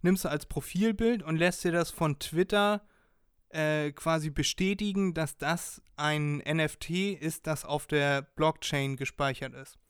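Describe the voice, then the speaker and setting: A man's voice: a little high, unhurried, clean tone, no echo, studio quality. A man co-hosting a casual podcast, explaining things and joking while guessing quiz answers. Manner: joking, plays along, guesses. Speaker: podcast co-host.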